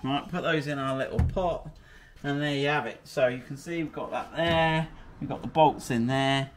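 A man's voice: medium pitch, normal speed, heavy background noise. A middle-aged British man chatting casually as he works in a workshop garage, explaining his fix step by step and joking at himself. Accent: British English. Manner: chatty and self-mocking, thinking aloud. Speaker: workshop presenter. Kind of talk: casual how-to chat while working.

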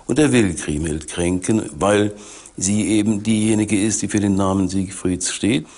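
A man in his sixties giving explanatory expert commentary in an interview, speaking deliberately with emphatic repetition. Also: on the low side, warm tone. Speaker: forensic psychiatrist, expert interviewee. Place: dim indoor interview set, seated.

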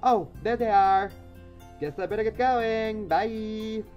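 Dude in a high voice as a chirpy teasing schoolgirl, bubbly. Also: slow pace, muffled recording, faint music behind. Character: chirpy teen schoolgirl matchmaker.

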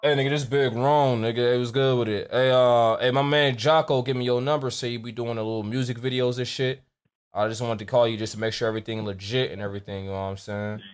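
A young man with an American accent talks in a medium-pitched voice. His speech is casual and full of slang, with stuttered, repeated words, like someone making a prank call from his room at home. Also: level tone, quick pace, smooth. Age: young adult. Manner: slangy, stammering repetitions. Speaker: prank caller posing as a rapper client. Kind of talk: casual phone prank chat.

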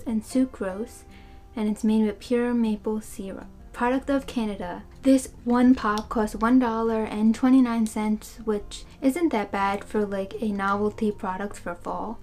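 A young woman sits on a couch in a small room and chats through a taste test on her webcam. Her voice sits at a middle pitch, and her talk is loose and filler-heavy, as if she is thinking aloud. Faint music plays behind her. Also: mellow. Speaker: vlogger reviewing snacks. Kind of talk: casual taste-test review.

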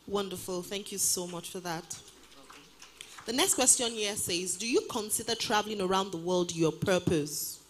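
A woman's voice: medium pitch, normal speed, slight background noise. A woman interviewer on a stage, politely reading audience questions aloud and thanking her guest, on a handheld mic in a large auditorium.

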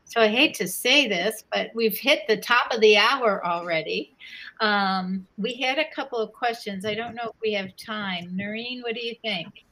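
A woman's voice: mid-range voice, moderate pace, sharp tone, low-quality recording. An older woman moderating a panel over a video call, polite and reading out audience questions. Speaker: moderator, retired professor. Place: home room. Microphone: webcam on video call.

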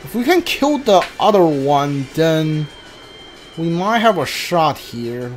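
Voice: medium pitch, very animated, takes it slowly. A video game streamer giving casual playthrough commentary, thinking aloud and muttering reactions while playing. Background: game music.

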